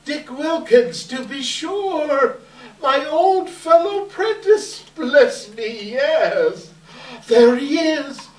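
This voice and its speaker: Old miser amazed by memories, acted by a woman, swooping drawn-out exclamations, sentimental, stage reading, roomy distant sound. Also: sits high, lively intonation, full character voice. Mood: joyful recognition. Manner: drawn-out exclamations, theatrical delight. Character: excitable old man Scrooge, sentimental and astonished. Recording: echoey hall from far off.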